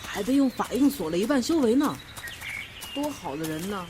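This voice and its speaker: A woman voicing a gossipy, pitying lowly servant in a Chinese audio drama. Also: sing-song, normal speed, slight background noise, natural voice. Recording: loud outdoor birdsong ambience.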